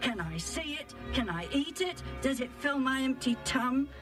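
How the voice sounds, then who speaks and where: A woman reader performs a brash young huntsman from a storybook, loud-mouthed and famished. The voice jumps higher, exclaiming impatiently over faint music. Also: expressive, measured pace. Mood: scornful, impatient. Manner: roaring, blustering, exclamatory. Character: brash, ravenous, impatient young male hunter. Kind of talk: acted Bible storybook dialogue.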